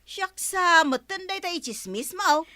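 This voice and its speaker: High-voiced, touchy village woman. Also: lively intonation, a little slow, pushed and bright, no echo, studio quality, heavily acted. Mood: indignant. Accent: Ilocano. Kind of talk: Ilocano radio comedy drama acting.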